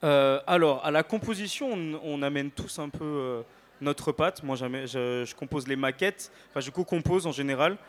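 Fairly high-voiced male singer, playful and informal. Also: moderate pace. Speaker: singer, interview guest. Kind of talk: casual on-stage interview chat.

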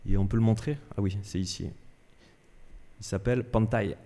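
A low, mellow-voiced male radio interviewer. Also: normal speed, in a large hall. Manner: hesitant, many fillers and restarts. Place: cultural centre venue.

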